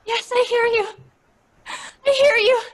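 A woman's voice: extremely high pitch, steady tone, unhurried. A woman playing a frightened young woman crying out desperately in an old-time radio horror play. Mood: frantic, terrified. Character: frightened young woman answering a ghostly call, frantic.

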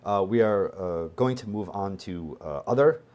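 A man in his forties with a low-pitched voice walks through a technical demonstration. He explains things informally, in a conversational way, thinking aloud as he works through each step on screen. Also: expressive, normal speed, a little mellow. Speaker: instructor.

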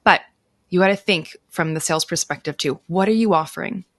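A woman's voice: on the low side, brisk, no echo, clean studio sound. A woman in her thirties speaking as an interview guest, explaining things in an informal, conversational way. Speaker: interview guest, author and teacher. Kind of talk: conversational advice. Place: indoor room, on a video call. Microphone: close microphone.

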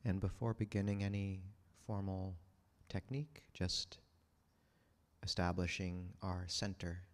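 A man leading a guided meditation as a monk, speaking gently and leaving long pauses between short instructions. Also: sits low. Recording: long reverberant hall sound.

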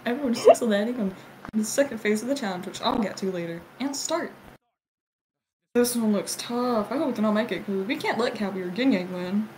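A woman's voice: medium pitch, fairly quick. A woman performing an irreverent, giggly, improvised cartoon fandub. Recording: clearly noisy home recording.

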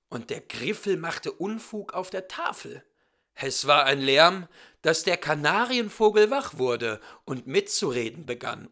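A man reads a fairy tale in a bright, fairly high voice. His delivery is theatrical and very lively in pitch, with each phrase carefully set out for the listener. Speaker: storyteller. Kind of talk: expressive fairy-tale reading.

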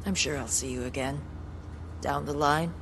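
A woman's voice: low voice, fairly even intonation, moderate pace, heavy background noise. An actress playing a blunt, spiritual, resolute woman survivor in a video game cutscene, with a matter-of-fact delivery. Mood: frank, wry.

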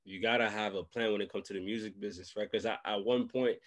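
A man's voice: lowish voice, fairly even intonation, fast talker. A man, hosting a casual interview in slangy talk and repeating phrases for emphasis. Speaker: host. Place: small room at home, indoors. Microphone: large desk microphone close up, video call.

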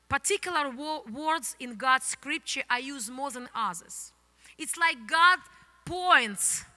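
A woman pastor in her thirties from the Soviet Union, with a Ukrainian accent, preaching an animated sermon into a handheld microphone on a church stage. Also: sits high. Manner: animated, gesturing, non-native English phrasing. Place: church stage, indoors, large hall. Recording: long hall reverb from the church.

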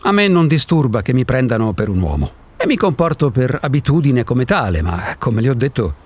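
Radio drama male actor as an eccentric recluse: provocative, impatient philosopher, husky voice, very animated delivery. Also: mid-range voice, fairly quick. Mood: insistent. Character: eccentric solitary man insisting he does not exist. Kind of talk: Italian radio drama acting.

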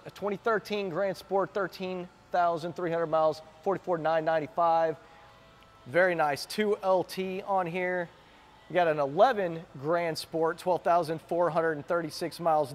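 A man giving an enthusiastic, chatty walkaround commentary as a car vlogger inside a warehouse, filmed on a handheld camera. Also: fairly high pitch.

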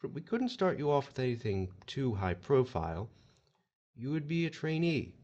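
An actor playing a suave, formal afterlife agent, polished and theatrical, in an audio horror drama. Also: male voice, mid-range voice, big pitch swings, moderate pace, a little mellow, muffled recording. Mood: smooth, stern.

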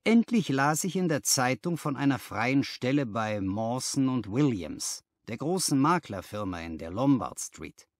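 A man's voice: mid-range voice, very animated, measured pace, few pauses, dry recording, clean studio sound. A man voicing a frank, rueful young London clerk telling his story colloquially in an audiobook.

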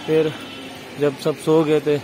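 Guy walking round a temple explaining paintings, bit high voice, loud background noise outside. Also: completely flat delivery, takes it slowly. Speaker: travel vlogger. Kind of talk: casual walking tour commentary. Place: outdoors at a large marble temple. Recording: noisy outdoor phone recording.

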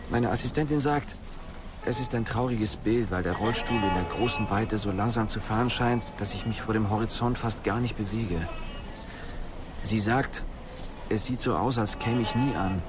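Male actor as gloomy boss, low-pitched, terse, demanding, radio drama, music behind. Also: close to an everyday voice. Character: weary, irritable male boss in a wheelchair, stranded in desert. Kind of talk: German radio play monologue, message-like delivery.

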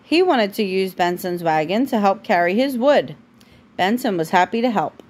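A woman reading a picture book aloud to a child at home, breaking off for informal, gently correcting asides. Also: medium pitch, animated, normal speed. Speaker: parent reading a bedtime story to a child.

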